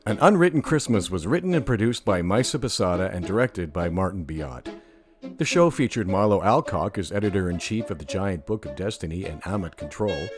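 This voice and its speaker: A man announcing the end credits of an audio drama in a scripted narration style. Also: lowish voice. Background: music.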